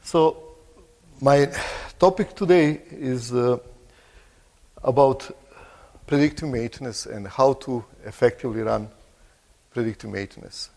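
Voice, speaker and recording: Gravelly-voiced Croatian man presenting in a hall. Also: medium pitch, a little mellow. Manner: halting, restarts phrases, clears throat often. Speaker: conference presenter. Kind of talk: conference presentation. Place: large conference hall. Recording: long hall reverb.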